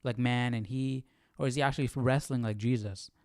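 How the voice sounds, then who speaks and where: A man's voice: mid-range voice, steady tone, fairly quick, a little mellow, dry recording, clean studio sound. A man hosting a Bible study livestream in casual chat, questioning and thinking aloud informally. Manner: informal, questioning, muttering to himself.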